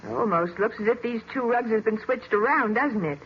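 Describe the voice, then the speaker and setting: A woman's voice: fairly high pitch, normal speed, close to an everyday voice. A woman playing an observant, curious detective's assistant in an old-time radio mystery, chatty and inquisitive. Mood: musing, critical. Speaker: actress in a radio detective drama. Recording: muffled, noisy vintage radio recording.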